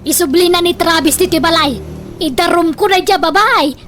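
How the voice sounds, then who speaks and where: A woman's voice: very high voice, normal speed, a bit bright. A woman playing a meddling, blunt old grandmother in a comedy radio drama, exclaiming and scolding. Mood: indignant.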